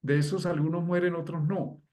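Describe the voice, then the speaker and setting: A man with a fairly high voice gives a long explanation as a guest. He talks fluently and runs examples together in one flowing line of thought. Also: little pitch movement, brisk, mellow. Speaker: guest astrologer. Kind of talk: conversational explanation.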